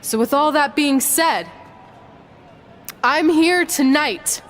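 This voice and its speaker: An actress playing a seasoned champion wrestler in a video game, speaking in a measured, sincere, wistful way. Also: female voice, high voice, fairly bright tone, faint music behind. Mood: reflective, resolved. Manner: measured, reflective, deliberate. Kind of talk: video game cutscene voice acting.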